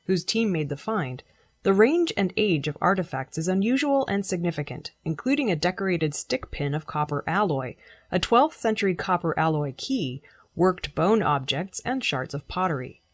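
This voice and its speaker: Husky, lower-pitched woman reading news. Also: expressive, normal speed, no room sound. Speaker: news reader. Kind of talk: scripted news narration.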